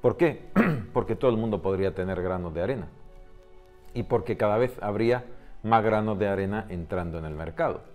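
Low-voiced Spanish man explaining, music behind. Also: animated, fairly quick, darkish tone. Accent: Spain. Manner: didactic, emphatic, repeats key points. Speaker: video host explaining economics. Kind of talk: explanatory monologue to camera. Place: small home office, indoors.

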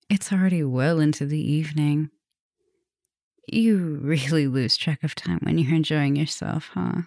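Woman playing a low, creaky, flirty vampire professor. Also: no room sound, studio quality. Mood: cheerful, chatty. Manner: intimate, teasing, drops into whispers. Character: flirtatious, teasing, softly dominant female vampire professor. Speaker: roleplay audio performer. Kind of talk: ASMR roleplay.

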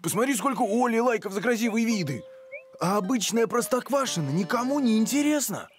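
Актёр озвучивает хвастливого, легко возбудимого пса-блогера в мультфильме, говорит восклицаниями и с модными словечками. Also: male voice, extremely high pitch, expressive, a little fast. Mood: defensive, bragging. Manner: exclamatory, slangy, showing off. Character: boastful excitable male dog, a wannabe blogger. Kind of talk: animated cartoon voice acting. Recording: music behind the voice.

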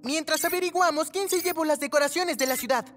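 A woman's voice: sits high, fast, soft background music, close to an everyday voice. A woman voicing a kind, responsible child superhero in a cartoon dub, earnest and instructive. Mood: kindly, earnest.

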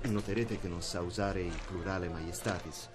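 An actor playing a cynical, chuckling mercenary captain in a radio drama. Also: male voice, low-pitched, steady tone, normal speed, music in the background, lightly acted. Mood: jovial. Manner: jovial, sly, chuckling. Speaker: mercenary leader.

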